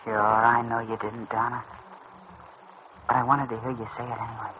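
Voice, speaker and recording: Earnest teenage boy played by a man, bright-voiced. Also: mid-range voice, little pitch movement, moderate pace, natural voice. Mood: earnest, tender. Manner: earnest, boyish, slangy. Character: earnest injured teenage boy, tender and proud. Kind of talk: mid-century radio soap acting. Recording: muffled, noisy old radio recording.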